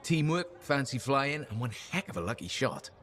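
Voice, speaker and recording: Upbeat, easygoing adventurer pilot. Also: male voice, medium pitch, sing-song, normal speed, a bit bright. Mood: upbeat, boastful. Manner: folksy, chatty storyteller. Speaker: video game character actor. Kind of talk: video game dialogue acting.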